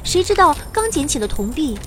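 A woman's voice: high voice, sing-song, a little fast, noisy background. A woman playing a cheeky, money-loving, boastful young mage who also narrates a fantasy audio drama. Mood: narrating adventure. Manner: playful, bouncy, boastful. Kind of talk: audio drama acting with first-person narration. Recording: background music under the voice.

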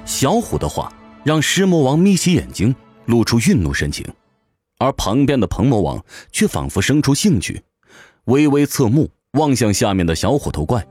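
A man's voice: mid-range voice, very animated, moderate pace, darkish tone, slightly rough, no echo, faint music behind. A man narrating a fantasy audio drama from a script in a theatrical, suspenseful manner.

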